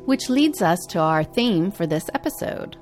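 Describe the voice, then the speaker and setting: A woman co-hosting a podcast, reading scripted news narration in a measured, clear delivery. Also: fairly low pitch, animated, darkish tone, faint music behind. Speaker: podcast co-host.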